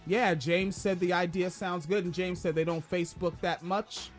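A man with a high-pitched voice, casually co-hosting a live-streamed podcast, rambling, swearing and joking. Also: fairly even intonation, normal speed, soft background music. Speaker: podcast co-host.

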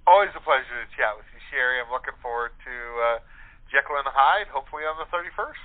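A man's voice: medium pitch, expressive, quick pace. A man chatting casually as an interview guest, rambling and correcting himself as he goes. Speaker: guest, playwright and wedding DJ. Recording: narrow tinny phone call.

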